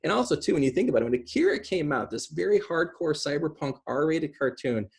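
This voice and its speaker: Husky-voiced man in his forties. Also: on the high side, lively intonation, a little fast. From small rural town. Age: 40s. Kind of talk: casual discussion on a video call. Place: small room at home, indoors. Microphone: computer on a video call.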